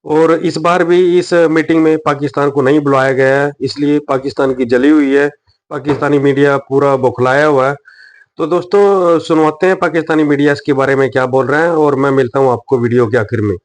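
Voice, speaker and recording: A man's voice: a little high, steady tone, brisk. A man with an Indian accent presenting a news reaction video and addressing viewers directly as host. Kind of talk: presenting to camera audience, addressing viewers as friends.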